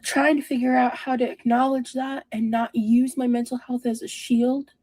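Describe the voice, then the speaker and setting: Mellow, hesitant woman, dry sound. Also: fairly high pitch, level tone, no echo. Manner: hesitant, halting, introspective. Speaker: vlogger. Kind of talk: confessional personal talk.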